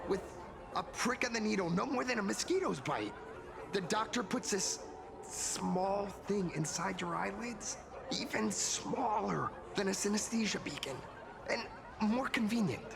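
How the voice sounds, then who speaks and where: An actor playing a shaken, anxious young would-be hero in a video game, speaking in a halting, hesitant way. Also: male voice, high voice, lively intonation, vocal fry, a crowd in the background, background noise, full character voice. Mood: reluctant, uneasy.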